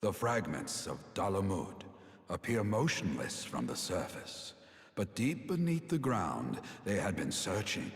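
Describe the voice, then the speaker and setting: An actor playing a grave, weary elder sage in a fantasy game, speaking in a stately, deliberate, theatrical way. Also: male voice, low voice, very animated, heavily acted. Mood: solemn. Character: grave, weary elder male sage recounting his downfall. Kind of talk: solemn fantasy game cutscene acting. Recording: long cavernous reverb.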